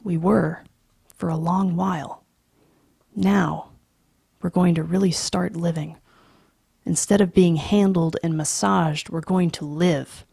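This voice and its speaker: A woman reading aloud, voicing a firm middle-aged father who speaks bluntly and forcefully. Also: lowish voice, fairly even intonation, slow, gravelly, pauses often, husky, no echo, studio quality, full character voice. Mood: stern.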